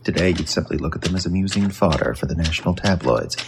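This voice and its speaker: A man's voice: low voice, expressive, quick pace, gravelly, over music. An actor playing a retired, world-weary older male newspaper reporter, narrating an old-time radio drama in a hard-boiled manner. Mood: wry, dramatic. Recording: noisy background with typewriter clicks.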